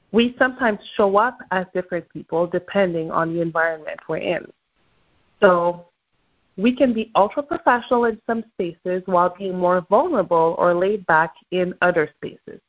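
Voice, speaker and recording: A woman's voice: fairly low pitch, lo-fi sound. A woman from Quebec with a Québécois French accent, a native French speaker, hosting an upbeat morning motivational call and coaching her listeners. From Quebec, Canada. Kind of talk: motivational talk.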